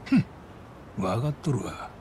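An actor playing a middle-aged, stoic yakuza boss in a game, gruff and wry with a Kansai accent. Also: male voice, medium pitch, sing-song, unhurried, darkish tone, slight background noise. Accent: Japanese Kansai. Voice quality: gravelly.

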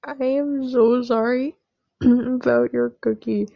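A woman's voice: on the high side, steady tone, slow pace, creaky, dry recording, lo-fi sound. A woman playing a sheepish young boyfriend in a roleplay audio drama, stammering and pleading apologetically. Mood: panicked pleading.

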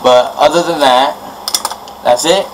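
A man presenting an informal hands-on electronics tutorial in a halting, improvised way, recorded on amateur camera audio at a workbench indoors. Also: a little high, noisy background.

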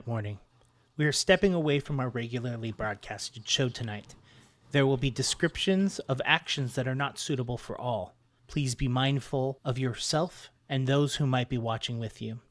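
A man's voice: mid-range voice, normal speed, a bit bright. A man reading a scripted content warning as an announcer.

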